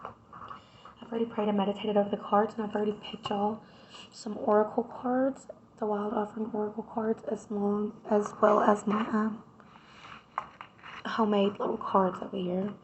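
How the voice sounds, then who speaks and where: A woman, hoarse from a cold, doing a casual tarot reading and chatting straight to her viewers. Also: mid-range voice, little pitch movement, normal speed, dull audio, slight background noise. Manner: chatty, addresses viewers directly with repeated "right?". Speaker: tarot reader.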